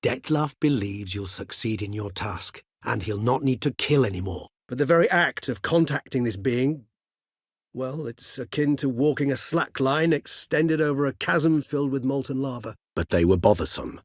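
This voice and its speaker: A man's voice: mid-range voice, animated, slightly rough, dry recording, clean studio sound. An actor voicing a cultured older male vampire in a video game, speaking formally and precisely. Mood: inquisitive, earnest. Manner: erudite, formal, measured, wordy phrasing. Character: cultured, mild-mannered older male vampire, learned and courteous. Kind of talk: theatrical video game dialogue.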